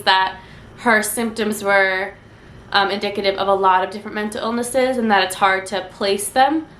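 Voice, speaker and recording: A woman's voice: mid-range voice, fairly bright tone, roomy sound, a little hiss or hum. Congested, chatty young woman vlogger. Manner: chatty, gushing, many ums and likes. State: congested, nasal from a cold. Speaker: book vlogger. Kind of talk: casual book review chat.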